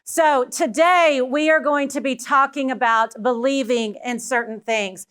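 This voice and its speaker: A woman with a Texan drawl delivers a motivational keynote in a conference room. Her voice sits high and bright. She speaks with energy and turns often to the audience. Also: measured pace, clean tone. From Texas. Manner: energetic, personal, talks directly to the audience. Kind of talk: motivational presentation to a live audience.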